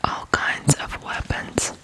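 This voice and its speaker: An ASMR host from Ukraine, telling stories in a hushed whisper with frequent pauses between short phrases. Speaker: ASMR video host and storyteller. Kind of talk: whispered ASMR true crime storytelling.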